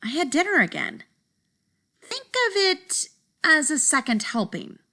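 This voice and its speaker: Storybook wolf, voiced by a woman: indignant, folksy self-excuser, very lively melody, forceful bright tone. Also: high voice, a little slow, voice pushed up, heavily acted. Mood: mock-innocent. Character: self-excusing big bad wolf telling his side. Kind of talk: animated picture-book read-aloud.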